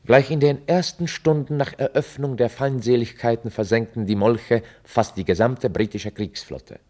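Low, deadpan chronicler. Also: male voice. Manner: deliberate, formal, deadpan. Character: sober male chronicler of an absurd future war. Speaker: narrator. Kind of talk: scripted radio play narration.

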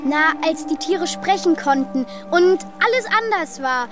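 An actress playing an earnest, dreamy young prince with a childlike way of talking in a children's radio play. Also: female voice, extremely high pitch, expressive, unhurried. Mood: hesitant, wistful. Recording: music under the voice.

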